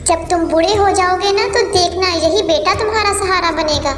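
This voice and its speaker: A woman's voice: extremely high pitch, moderate pace, a bit bright, slight background noise. A woman voicing an approving, old-fashioned crow friend in a children's cartoon, with a congratulatory manner. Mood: approving, pleased. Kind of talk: Hindi cartoon voice acting. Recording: echoey reverb over music.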